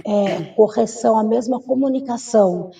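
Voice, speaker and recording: A woman's voice: fairly high pitch, normal speed, creaky, dark tone, distant mic. A woman speaking as a guest expert, explaining patiently with rhetorical questions and hesitant fillers. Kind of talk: studio discussion.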